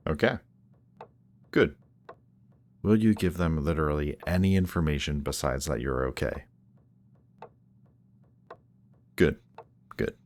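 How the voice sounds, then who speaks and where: Very deep, sardonic supervillain played by a man. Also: darkish tone. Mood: reluctant, softening. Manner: sardonic, hesitant when showing care. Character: gruff, guarded male supervillain, secretly caring, sardonic. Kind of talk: roleplay audio drama, addressed to listener.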